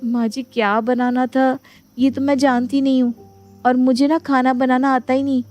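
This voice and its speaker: A woman's voice: high-pitched, flat delivery, normal speed, a little mellow, soft background music, pitched above the performer's own voice, close to an everyday voice. A woman voicing a guileless, sweetly clueless young Indian bride in a cartoon moral story. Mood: innocent. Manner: innocent, artless.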